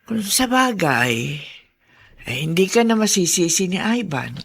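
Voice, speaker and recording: Mature female, creaky and swooping, frank concerned confidante, coaxing, radio drama. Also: on the low side, sing-song, measured pace, exaggerated voice. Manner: coaxing, conversational. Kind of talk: Tagalog radio drama acting.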